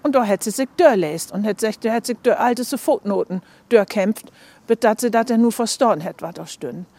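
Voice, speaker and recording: A woman with a northern Low German accent explains conversationally, as an academic being interviewed. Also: moderate pace, darkish tone.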